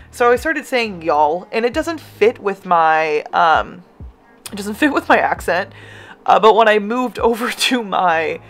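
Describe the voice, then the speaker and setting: A young American woman with a slight lisp, giving a casual, rambling voiceover of her game build. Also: mid-range voice. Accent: General American. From United States. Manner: rambling, self-interrupting, laughs often.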